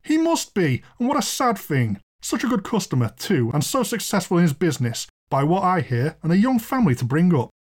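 Here is a man playing a frightened storybook landlord. He is sorrowful and bewildered, exclaiming in distress in a raised, high voice. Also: big pitch swings, brisk, hardly any pauses. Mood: stunned, sorrowful.

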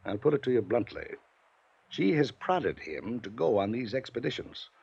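An actor playing a stern, domineering wealthy uncle in a 1950s radio drama, with a gravelly, husky voice. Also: male voice, mid-range voice, sing-song, moderate pace, a little mellow, heavily acted. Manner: blustering, then stiffly formal. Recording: dull lo-fi 1950s radio transcription.

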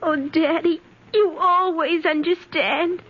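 A woman's voice: very high-pitched, normal speed. An actress playing a schoolgirl daughter, clinging and defensive, in an old radio drama. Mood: adoring. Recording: dull vintage radio recording.

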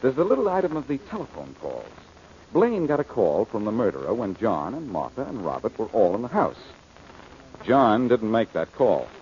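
An actor playing a suave, wisecracking amateur detective in an old-time radio drama, glib, teasing and self-assured. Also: male voice, fairly low pitch, big pitch swings, normal speed, a little mellow. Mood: cocky, confident. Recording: muffled, noisy old radio recording.

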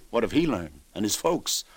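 An actor playing a tough but soft-hearted New York police lieutenant in 1950s radio crime drama, halting and wry. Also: male voice, fairly low pitch, very animated, husky, no echo, exaggerated voice. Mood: careful, hesitant.

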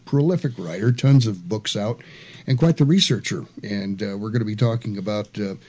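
Halting male radio host. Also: fairly low pitch, darkish tone, dull audio. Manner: halting, many uh fillers. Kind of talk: casual radio talk. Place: radio studio.

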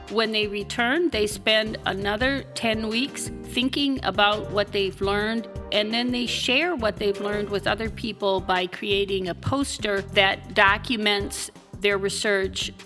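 A woman professor co-hosting a podcast interview who explains her teaching model in a clear, organized way. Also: on the high side.

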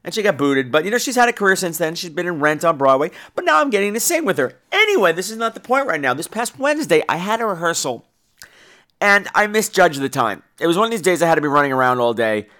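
Man, podcast host, hoarse with a cold, profane rant, bright forceful tone. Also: fairly high pitch, quick pace. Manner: profane, exasperated, animated ranting. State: losing his voice with a cold coming on. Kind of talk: casual solo podcast rant.

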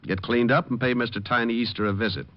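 An actor playing a suave, tough, sardonic private detective in a 1950s radio drama. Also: male voice, medium pitch, fairly even intonation, quick pace, natural voice. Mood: wry. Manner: sardonic, dry wisecracks. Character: suave, quick-witted, wisecracking tough male private detective. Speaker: lead actor and first-person narrator. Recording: dull old radio recording.

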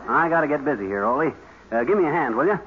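An actor playing a bumbling, boastful middle-aged husband in 1950s radio comedy, folksy and full of bluster. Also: male voice, a little high, lively intonation, very fast, natural voice. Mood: busy, flustered. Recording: very noisy muffled old radio recording.

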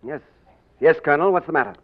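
An actor as a jovial English gentleman. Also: on the high side, fast talker, close to an everyday voice. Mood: amused. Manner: light, jovial, self-mocking. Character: easygoing young English gentleman posing as king. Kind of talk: 1940s radio acting. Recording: tinny old radio broadcast with faint hiss.

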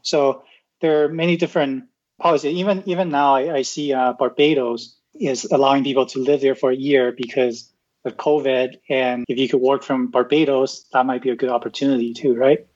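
A man from Taiwan, a podcast guest, explaining things in a casual, unhurried way, with frequent restarts and "right?" tags. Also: mid-range voice, steady tone, a little mellow. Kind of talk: casual interview talk. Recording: muffled lo-fi audio.

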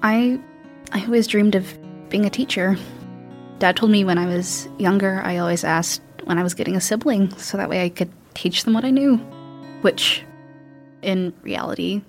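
A woman's voice: mid-range voice, moderate pace, soft background music, natural voice. A woman playing a wistful, modest older sister in a post-apocalyptic audio drama, speaking hesitantly and reflectively.

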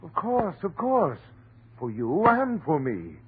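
An actor playing an earnest, dutiful doctor, shaken and urgent, in dramatic 1930s radio horror style. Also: male voice, fairly high pitch, wildly swooping pitch, takes it slowly, a little hiss or hum, heavily acted. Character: earnest, dutiful male doctor, shaken yet insisting on reason. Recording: muffled, phone-like 1930s radio recording.

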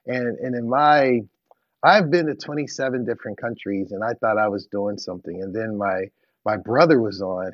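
A man's voice: medium pitch, no room sound. A man in his forties co-hosting a podcast interview, chatting and asking long rambling questions with restarts. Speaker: podcast co-host. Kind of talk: casual interview chat. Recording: dull, dry lo-fi sound.

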